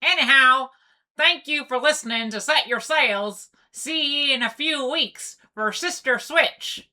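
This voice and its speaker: A woman's voice: sits high, unhurried, sharp tone, exaggerated voice. A woman voicing a bossy, teasing pony sea captain with pirate-style speech in a cartoon comic dub. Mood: coaxing, assured.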